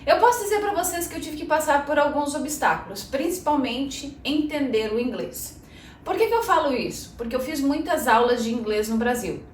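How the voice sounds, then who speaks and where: A Brazilian woman in her thirties talks casually to her viewers, chatty and personal, often tagging her sentences with little questions. Her voice sits a bit high for a woman. It carries the echo of a roomy space, with her a little away from the mic and faint noise underneath. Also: expressive, moderate pace, far from the mic. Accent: Brazilian Portuguese. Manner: chatty, addresses viewers as "gente", frequent "né". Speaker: channel host.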